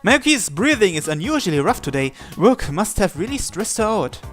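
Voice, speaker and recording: A man's voice: high voice, sing-song, moderate pace, a bit bright, soft background music. A man hosting a let's-play, reading a visual novel's narration and every character's lines aloud in his own voice.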